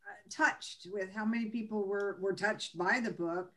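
A woman's voice: mid-range voice, a bit bright. Earnest woman in her sixties. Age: 60s. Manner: earnest, a bit halting, laughs at herself. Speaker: panel guest. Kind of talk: casual panel chat.

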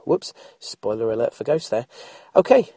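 A man's voice: mid-range voice, very animated, normal speed, dark tone, husky, no room sound, clean studio sound. A middle-aged man with an English accent, bunged up and throaty with a cold, chatting informally as a solo podcast host with wry, self-deprecating asides. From England. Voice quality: congested, throaty.

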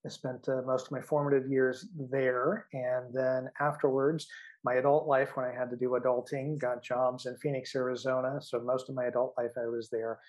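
A man's voice: medium pitch, flat delivery, moderate pace, rough recording. A middle-aged man from the American Midwest with an American accent, talking casually about himself as a guest author in an interview.

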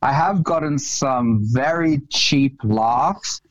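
A man's voice: mid-range voice, takes it slowly, no echo. An Australian man with a mid-range voice talks in a laid-back, profane way, dropping "bro" and "man" as he goes. He comes through a remote call on his phone, with muffled, lo-fi sound that is dry and close. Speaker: podcast guest. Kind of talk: casual conversational interview.

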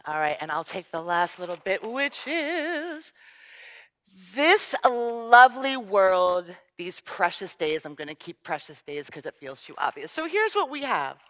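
A woman's voice: mid-range voice, big pitch swings, a little slow, a bit bright. A woman leading a congregation through a shared reading, encouraging and playful with participants. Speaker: service leader.

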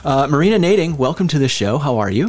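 A man's voice: on the high side, sing-song, quick pace, darkish tone. A man hosting a podcast, talking enthusiastically with lots of fillers and restarts. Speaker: podcast host. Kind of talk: casual interview hosting.

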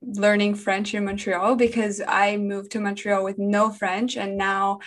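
Young woman from indonesia in medicine, steady flat voice, talks earnest, says like a lot. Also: medium pitch, a little slow. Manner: earnest, fluent, uses "like". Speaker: medical trainee. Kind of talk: personal interview talk.

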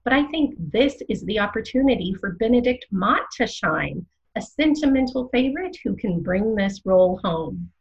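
A woman's voice: mid-range voice, lively intonation, moderate pace, few pauses, lo-fi sound, lightly acted. A woman playing an opinionated, theatrical co-host of a theatre prediction show, speaking with emphatic, rhetorical phrasing in scripted banter. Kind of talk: scripted podcast banter and commentary.

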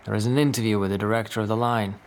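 An actor playing a weary, conscientious doctor in a naturalistic 1940s audio drama, speaking in a low-key, hesitant way. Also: male voice, fairly even intonation, rapid-fire, slight background noise, lightly acted. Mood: grim. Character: weary, conscientious male Norwegian doctor in 1945.

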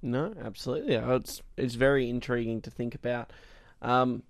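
A man in his twenties co-hosting a film podcast, speaking in a relaxed, raspy voice with frequent fillers. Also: medium pitch, moderate pace, no room sound, studio quality. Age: 20s. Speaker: podcast co-host. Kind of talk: casual film podcast chat.

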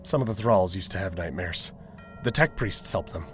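An actor playing a bleak, sardonic thrall, a worn-out and fatalistic survivor, in an audio drama. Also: male voice, sits low, animated, moderate pace, soft background music. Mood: deadpan.

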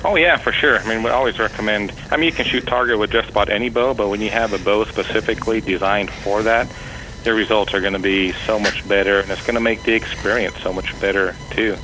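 Man, podcast guest, lowish voice, friendly and agreeable, noisy recording. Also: fairly even intonation, fast talker. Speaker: archery company guest. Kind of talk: casual interview chat.

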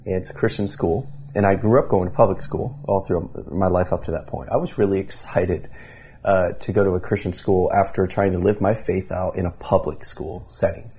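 Man, 30s, from Indiana, pastor, earnest interview talk, frequent restarts, dark tone. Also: low voice, steady tone, moderate pace, slightly rough. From Indiana, USA. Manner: earnest, many restarts and fillers. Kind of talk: conversational interview storytelling.

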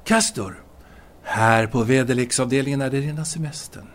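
An actor playing a self-satisfied, evasive French writer in army service, voicing a letter in an audio drama in a gravelly, hesitant, formal way. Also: male voice, medium pitch, lightly acted. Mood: smug contentment. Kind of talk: audio drama monologue, letter read aloud.

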